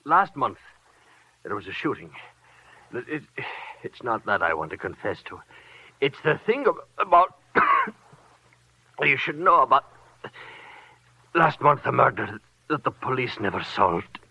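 Radio drama doctor: desperate, weak and stammering, very wild pitch swings, dull vintage sound. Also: male voice, sing-song, slightly rough, no echo, full character voice. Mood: desperate, failing. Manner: halting, stammering, breaking off. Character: frightened, dying male doctor, desperate to confess what he knows. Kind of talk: 1940s radio acting. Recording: muffled old 1940s radio recording.

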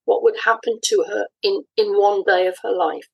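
A woman with a somewhat husky, breathy voice at a middling pitch speaks as an interview guest. She explains things thoughtfully and conversationally, pausing within phrases as she works through each point. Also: fairly even intonation, highs cut off. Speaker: interview guest, audio drama writer.

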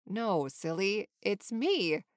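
A woman voicing a calm, friendly young male sandpiper in a children's story, with a laid-back, deadpan manner. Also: medium pitch, slow, dry recording, studio quality.

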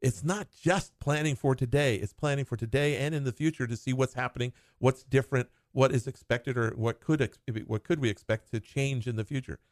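A man's voice: mid-range voice, fairly even intonation, brisk, hardly any pauses, dry recording, studio quality. A man who talks as a financial advisor, explaining retirement planning in short clauses and laughing now and then. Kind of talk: conversational explanation.

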